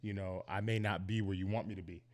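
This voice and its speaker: A young man from Northern Virginia talks as a podcast guest in a thoughtful, earnest way. He often circles back to make sure he is understood, in a fairly low voice. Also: steady tone, fast. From Northern Virginia, United States. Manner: reflective, earnest, leans on "you know what I mean". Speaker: podcast guest, professional football player. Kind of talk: casual interview chat.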